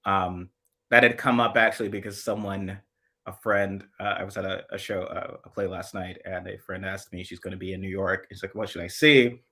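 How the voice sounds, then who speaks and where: A man co-hosting a casual chat show, speaking in a dry, musing way that often trails off quietly. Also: sits low, fairly even intonation, moderate pace. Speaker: co-host.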